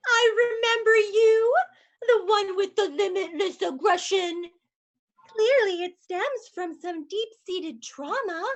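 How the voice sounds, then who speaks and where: A woman voicing a gentle, coaxing female therapist in a script reading. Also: extremely high pitch, slow pace, full character voice. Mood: soothing. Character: soothing female therapist called Glinda.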